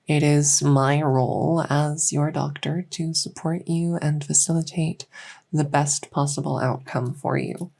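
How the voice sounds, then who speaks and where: A woman voicing a gentle fairy dragon girl doctor in a soft-spoken ASMR roleplay, reassuring her patient with hesitant pauses. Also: sits low.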